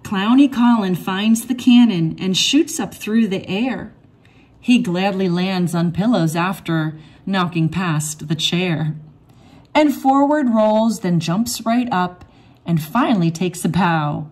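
A woman reading a rhyming children's picture book aloud in an expressive, measured storytelling manner. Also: mid-range voice. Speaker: read-aloud storybook reader.

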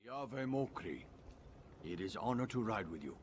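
An actor playing an earnest, reverent alien warrior scout, declaiming in clipped, stilted English for a video game. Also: male voice, lowish voice, sing-song, normal speed, a little hiss or hum. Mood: reverent, proud. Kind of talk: video game dialogue acting.

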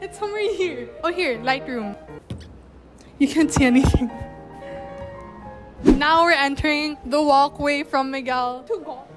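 A chatty young woman from the Philippines tours her university, laughing often. Her voice sits high and bright as she talks into a handheld mic while walking through halls and classrooms. Music runs steadily underneath her. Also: a little slow, pauses often. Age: young adult. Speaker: vlogger giving a campus tour. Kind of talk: casual walking vlog. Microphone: handheld mic at arm's length. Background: background music.

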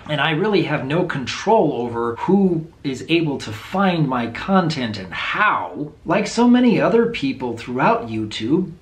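A man in his thirties with a low voice, talking earnestly to camera in a home video, thoughtful and openly unsure. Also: reverberant. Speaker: video creator. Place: small room indoors at home.

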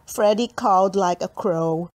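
Female storyteller, mid-pitched, expressive children's book narration. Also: slow pace. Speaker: audiobook reader.

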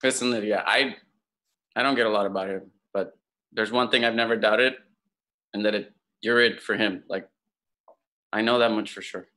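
A man's voice: lowish voice, steady tone, moderate pace, long pauses, sharp tone. A man in his thirties playing a hot-headed, wounded young chef in a naturalistic table read, slangy and exasperated. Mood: pleading. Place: room at home, video call.

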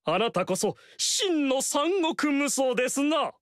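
A flamboyant court strategist from a historical action game, who talks in a high, swooping voice full of courtly flourishes. He lingers over each word and doubles his phrases for effect. The sound is close and dry, with no room around it. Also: lively intonation, slow pace, dry recording, heavily acted. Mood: flattering. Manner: theatrical, courtly, repeats phrases for emphasis. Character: flamboyant, fawning male court strategist, middle-aged. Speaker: game character. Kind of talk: video game voice lines.

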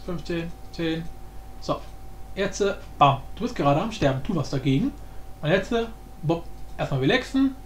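A man with a notably high voice talks through a tutorial in an informal, think-aloud way. He sounds like he is in a reverberant room, with some light noise behind him. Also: expressive, moderate pace, long pauses. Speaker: tutorial host. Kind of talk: casual screen-recorded tutorial commentary. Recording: roomy echo with background noise.

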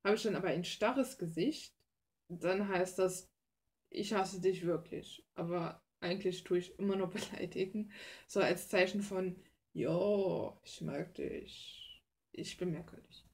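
A woman's voice: fairly low pitch, fairly even intonation, measured pace, darkish tone. A young adult woman from Germany chatting casually as she works on her art, self-deprecating, blunt and slangy, recorded at a desk in a small room. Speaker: hobby artist and video creator. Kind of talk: casual rambling chat while painting.

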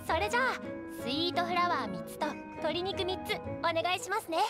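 Polite, very high-voiced young waitress. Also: female voice, expressive, a little fast, loud music behind the voice. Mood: determined. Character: young waitress, polite, earnest, flustered but determined. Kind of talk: anime game voice acting.

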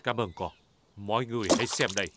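A man's voice: on the low side, fast talker, heavy background noise, close to an everyday voice. A man playing a jolly, easygoing big daddy pig in a children's cartoon dub, hearty and fatherly. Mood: cheerful, confident. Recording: music behind the voice.

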